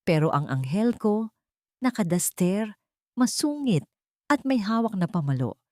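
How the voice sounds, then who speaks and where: A woman voicing a resolute young mother in a story, wounded but firm and measured. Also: mid-range voice, very animated, darkish tone, dry recording, studio quality, voice pushed up. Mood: tender.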